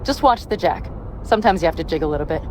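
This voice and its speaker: Low-voiced tough young woman mercenary. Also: expressive, darkish tone, poor audio. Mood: brisk, practical. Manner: brisk, practical, wry, casually foul-mouthed. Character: tough, brisk young woman mercenary driving an armored vehicle. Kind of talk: video game cutscene dialogue, naturalistic. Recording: clear vehicle and ambience noise behind.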